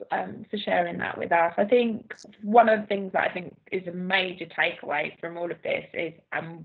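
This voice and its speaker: A woman's voice: mid-range voice, breathy edge. A woman hosting an interview in a casual, informal way, often dropping "like" into her phrasing. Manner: informal, frequent "like". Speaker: interview host. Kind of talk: casual moderating. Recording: muffled, phone-like call audio.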